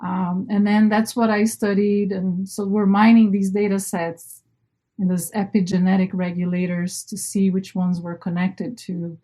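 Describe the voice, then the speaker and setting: A woman's voice: mid-range voice, little pitch movement, a little slow, warm tone. Woman from Brazil, informal podcast guest. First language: Portuguese. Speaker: interview guest, marine biologist. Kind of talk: casual personal storytelling.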